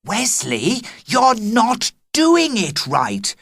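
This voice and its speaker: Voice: medium pitch, huge pitch swings, extremely slow, gravelly, a bit bright, no echo, clean studio sound, voice pushed up, exaggerated voice. A reader voicing a bossy young schoolgirl who whines about a play going wrong. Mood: whiny. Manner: whiny and complaining.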